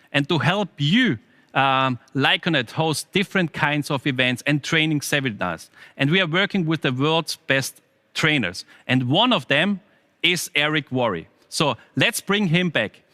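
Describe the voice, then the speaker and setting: A man hosts an online business event with a bright, forward voice. His pitch sits a little high and the sound is dry and close. He presents haltingly, searching for his next words. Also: dry recording. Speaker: show host.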